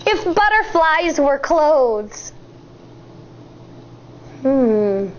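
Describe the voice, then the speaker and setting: An American woman in her thirties, a teacher reading a picture book aloud to children in an expressive, deliberate way. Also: high voice, muffled recording, slight background noise. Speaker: elementary school teacher.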